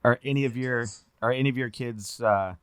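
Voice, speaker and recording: A man's voice: mid-range voice, brisk, fairly bright tone, clear, resonant tone, no echo, studio quality. A middle-aged man hosting a podcast, chatting in a friendly, self-deprecating way. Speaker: podcast host. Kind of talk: casual interview chat.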